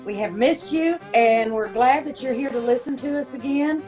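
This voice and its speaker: Lady host w high voice, friendly informal intro for a theater show. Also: normal speed, a little mellow, faint music behind.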